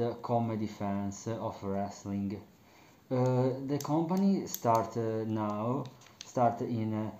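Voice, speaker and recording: A young Italian man talks slowly in halting, accented English, pausing on fillers and restarting his phrases. His voice is dark and mellow. It was recorded on a webcam in a small bedroom, so it sounds roomy and dull. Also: mid-range voice. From Italy. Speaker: wrestling commentary host. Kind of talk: casual solo talk to camera.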